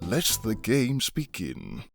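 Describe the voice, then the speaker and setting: A man's voice: fairly low pitch, wildly swooping pitch, takes it slowly, vocal fry, fairly bright tone, soft background music, full character voice. Man voicing a stiff, awkward cartoon know-it-all. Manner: stilted, matter-of-fact, backtracking. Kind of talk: cartoon voice acting in a comic dub.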